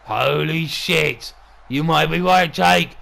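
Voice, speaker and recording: A man playing a gruff, bullying wrestling boss and commentator with a throaty growl and a crude, sweary, threatening way of talking. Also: on the high side, slow, pushed and bright, exaggerated voice. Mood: excited. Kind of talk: wrestling commentary with in-character banter.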